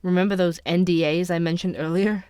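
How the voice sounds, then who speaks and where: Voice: medium pitch, steady tone, fairly quick, dark tone, clean tone, no room sound, natural voice. An actor playing a persistent investigative podcast reporter in an audio drama, narrating in a dry, wry, conversational way. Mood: wry, matter-of-fact.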